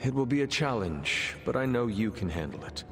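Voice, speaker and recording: An actor playing a calm resurrected warrior in a video game, speaking in a measured, formal, earnest way. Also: male voice, medium pitch, long reverb tail, music in the background. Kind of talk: video game cutscene acting.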